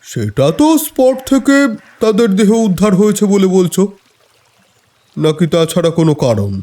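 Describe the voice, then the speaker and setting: A man's voice: high voice, very animated, normal speed, dark tone. A man playing a sceptical, questioning senior official in a horror audio drama. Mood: probing. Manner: inquisitive, doubting.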